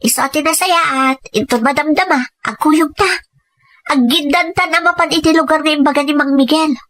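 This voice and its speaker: A woman's voice: high-pitched, moderate pace, a bit bright, no room sound. A woman playing an eager, persuasive young female student in an Ilocano audio drama.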